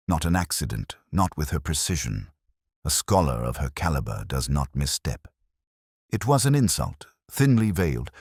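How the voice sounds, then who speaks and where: A man reading a scripted first-person literary mystery in a measured, formal storytelling manner. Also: deep voice, breathy edge, no room sound, clean studio sound. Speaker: audio drama narrator.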